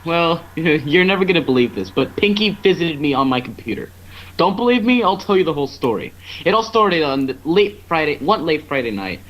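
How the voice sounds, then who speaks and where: A man's voice: a little high, very animated, brisk, highs cut off. A man narrating fan fiction casually as an amateur storyteller, now and then stumbling over the script. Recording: noisy amateur online stream.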